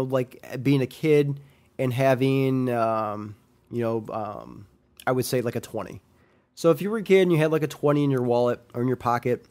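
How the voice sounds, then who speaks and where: A young man with a slightly nasal, congested voice talks through his picks in a loose, rambling solo podcast. He pauses on ums and restarts his sentences as he goes, speaking at an ordinary middle pitch. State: congested from a sinus infection. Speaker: podcast host. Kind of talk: casual solo commentary.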